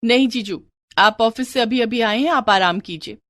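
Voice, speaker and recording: A woman's voice: a little high, normal speed, fairly bright tone, no room sound, clean studio sound, close to an everyday voice. Woman as a cheerful, affectionate young friend. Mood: warm, reassuring. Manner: polite, friendly, reassuring.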